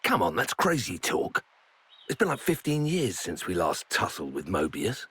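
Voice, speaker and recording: Game role: dismissive, laid-back male comrade, low and rough-edged. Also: wildly swooping pitch, normal speed, a bit bright, exaggerated voice. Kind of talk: video game cutscene acting.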